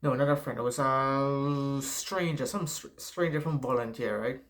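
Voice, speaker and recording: A man's voice: medium pitch, moderate pace, a bit bright. A young man with a Trinidadian accent giving casual, rambling advice to camera, swearing and tagging sentences with "right?". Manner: rambling, profane, frequent "right?" tags. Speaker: self-help vlogger.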